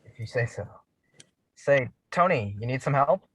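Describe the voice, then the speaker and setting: An actor at a table read playing a reserved, protective teenage boy who speaks in a low-key, hesitant way. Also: expressive, normal speed, slightly rough, dry recording, poor audio.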